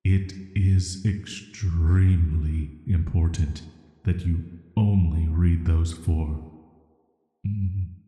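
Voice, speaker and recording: Man voicing very deep, slow immortal villain. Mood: grave, careful. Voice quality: very deep, soft, atmospheric. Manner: soft, flat, measured, hesitant pauses. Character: ancient banished male villain, immortal cursed being, calm and flirty. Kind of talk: slow scripted roleplay audio drama monologue. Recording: echoey, long cavernous reverb.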